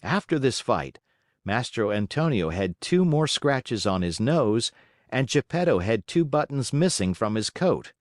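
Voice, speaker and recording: A man narrating a children's story in a measured, expressive, scripted reading. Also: medium pitch, no room sound, clean studio sound.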